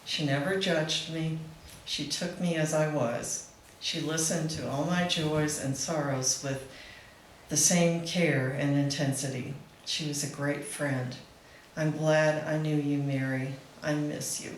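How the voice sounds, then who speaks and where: Woman reading a eulogy, slow pace, mid pitch, big room echo. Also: fairly even intonation. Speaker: speaker reading a tribute at a memorial celebration. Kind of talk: prepared eulogy, read aloud. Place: indoor event room with a live gathering. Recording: roomy echoing hall, faint background noise.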